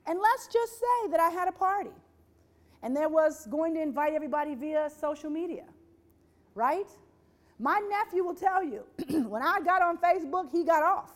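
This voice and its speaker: Woman presenter, high voice, rhetorical and repetitive, quizzes the audience. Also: normal speed. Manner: rhetorical, repeats phrases, asks the audience questions; voices quoted people with lively shifts. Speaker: speaker on workplace diversity.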